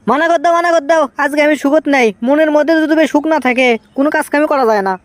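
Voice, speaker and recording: High-pitched, whiny cartoon son. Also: male voice, fast, dry recording. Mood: sulky. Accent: Bogura, northern Bangladesh. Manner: whiny, pleading, exaggerated. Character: impatient, whiny young son desperate to get married. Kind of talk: comic cartoon dialogue.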